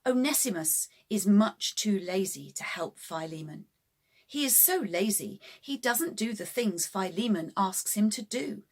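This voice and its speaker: A woman narrating a scripted children's bedtime story in simple, deliberate phrases for young listeners. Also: lowish voice, husky.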